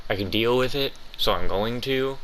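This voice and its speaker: A man's voice: medium pitch, normal speed, a bit bright, slight background noise, rough recording. A man giving casual, blunt playthrough commentary as a gaming host, grumbling and swearing as he goes.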